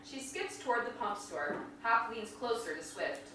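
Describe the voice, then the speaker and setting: Bright-voiced woman narrating in echoey hall. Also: a little high, expressive, normal speed. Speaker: stage directions reader. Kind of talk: scripted narration at staged reading. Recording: very echoey hall, distant mic, faint noise.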